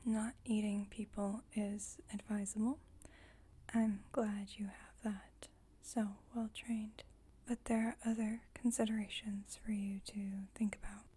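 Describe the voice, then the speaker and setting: A woman playing a composed, formal vampire coven leader in an ASMR roleplay, whispering softly in a measured, instructive, gently wry way. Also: medium pitch. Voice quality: breathy, whispered, soft.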